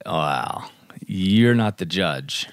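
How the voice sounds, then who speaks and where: A man's voice: deep voice, lively intonation, drawn-out words, no room sound, studio quality. A man co-hosting a podcast in casual chat, teasing and playful, laughing often. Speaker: podcast co-host.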